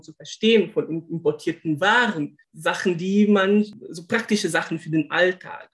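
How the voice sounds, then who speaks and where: A man with a notably high voice speaks German as an in a conversational interview. He is thoughtful and searches for his words, often restarting phrases. His carries traces of Portuguese. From Brazil. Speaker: guest, linguist and German teacher.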